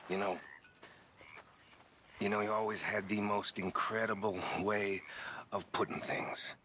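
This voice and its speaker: A man playing a fawning outlaw in a video game, halting and ingratiating. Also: lowish voice, flat delivery, bright, forceful tone, slight background noise. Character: fawning, teasing American outlaw follower, grown man.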